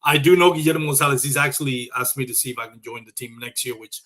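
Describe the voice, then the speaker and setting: Male interview host from Puerto Rico, medium pitch, loose and conversational delivery. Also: fast, fairly bright tone. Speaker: show host. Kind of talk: casual live show interview.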